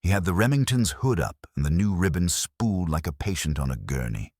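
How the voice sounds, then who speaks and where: A man with an exceptionally low voice narrates detective fiction. His delivery is wry and hard-boiled, with an animated range of pitch, recorded close and dry. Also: a little fast, no room sound. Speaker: audiobook narrator. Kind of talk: first-person detective story narration.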